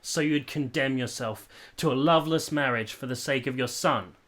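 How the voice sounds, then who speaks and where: A man's voice: medium pitch, moderate pace, fairly bright tone, natural voice. An actor playing an ardent, stubborn young man in love in a period radio drama, earnest and pleading with formal phrasing. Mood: hurt, demanding. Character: ardent, stubborn young male lover from a village.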